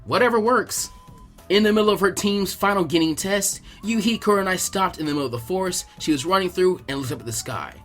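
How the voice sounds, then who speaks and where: Higher-pitched man narrating a story. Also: fast, few pauses, fairly bright tone, faint music behind. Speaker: narrator of fan fiction. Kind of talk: scripted narration.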